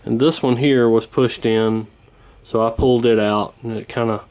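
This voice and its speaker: A man giving a casual hands-on repair walkthrough, talking in an unhurried, folksy way while he works. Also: mid-range voice, fairly even intonation, a little mellow, low-quality recording. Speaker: host of a radio repair channel.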